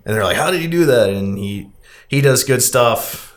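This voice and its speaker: A man's voice: mid-range voice, lively intonation, normal speed. Guy hosting a podcast, rambles and stops and starts through a film recap. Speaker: podcast host. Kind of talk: casual chat, recapping a film.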